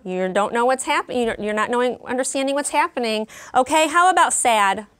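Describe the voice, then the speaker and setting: A woman in her forties teaching a class of young children, speaking slowly and expressively in an elementary classroom. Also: fairly high pitch, a bit bright, clear, resonant tone. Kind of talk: classroom read-aloud and teaching.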